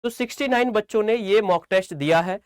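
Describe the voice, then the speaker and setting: A man's voice: high voice, a little fast, clear, resonant tone, no room sound, clean studio sound. A man giving informal instructional talk to students as a coaching teacher, repeating phrases for emphasis and often checking that they follow.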